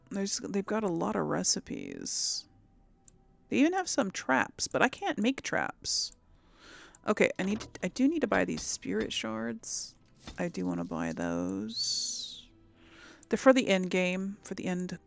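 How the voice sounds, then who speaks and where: A woman's voice: mid-range voice, gravelly. A woman giving casual let's play commentary, musing aloud and rambling to her viewers. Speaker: gaming video host.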